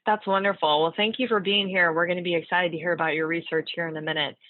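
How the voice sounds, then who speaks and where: A woman's voice: fairly low pitch, fairly even intonation, quick pace, dry recording. A woman neurologist moderating a panel interview, friendly and encouraging, with some fillers. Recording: tinny phone-like line, dry.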